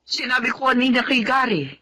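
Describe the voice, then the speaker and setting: A woman acting a worried, caring grandmother in a Filipino melodrama, with a motherly, expressive manner. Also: sits high, fairly quick, slight background noise. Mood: concerned.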